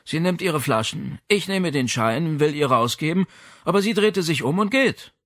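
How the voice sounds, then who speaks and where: A man performs a hearty, slightly sheepish shopkeeper in an audiobook crime story. His talk is emphatic, lifted in pitch and very animated, recorded dry. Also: normal speed, no echo. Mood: embarrassed. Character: male shopkeeper, hearty but sheepish, with stubble. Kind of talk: audiobook character dialogue.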